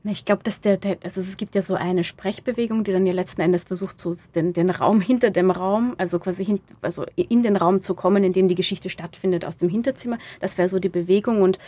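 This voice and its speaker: Mellow woman, thinking aloud. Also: mid-range voice, fairly even intonation, fast talker, hardly any pauses. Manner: hesitant, searching, thinking aloud. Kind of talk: analytical interview conversation.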